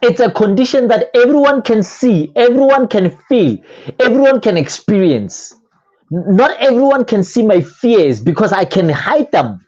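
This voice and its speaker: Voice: mid-range voice, sing-song, normal speed, a little mellow, lo-fi sound. A motivational coach giving a talk full of rhetorical questions and repeated phrases, often restarting words.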